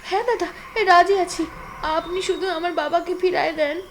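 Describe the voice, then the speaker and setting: A woman's voice: very high-pitched, steady tone, moderate pace, slight background noise. A woman voicing a pleading, tearful young village woman in an audio drama, with rustic, deferential speech. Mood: pleading, hopeful. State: crying.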